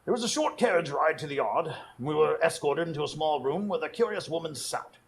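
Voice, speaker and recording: A man playing a detective's older companion, narrating a radio drama in a measured, wry way. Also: on the high side, animated, gravelly. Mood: grave, observant. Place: performance space in front of a live audience.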